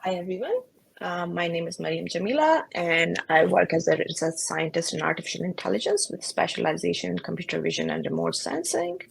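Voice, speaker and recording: A young woman from Pakistan introduces herself as a podcast co-host. Her voice is low and husky, with a strong vocal fry, and her manner is calm and plain. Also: brisk.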